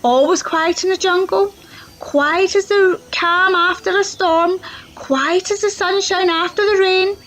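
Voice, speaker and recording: High-voiced woman reading a storybook. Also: measured pace. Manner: expressive, sound-effect-filled storytelling. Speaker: children's librarian. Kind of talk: storybook read-aloud.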